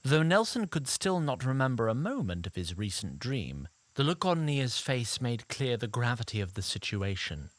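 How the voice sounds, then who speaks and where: A man performs a self-aware fantasy storyteller with a highly animated, mid-pitched delivery. He is arch and wordy, addressing the audience directly in an elaborate, theatrical style. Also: moderate pace, few pauses, dry recording, close to an everyday voice. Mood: arch, storytelling. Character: chatty, theatrical male storyteller narrator, fussy and self-aware. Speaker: narrator. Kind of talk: scripted fantasy audio drama narration.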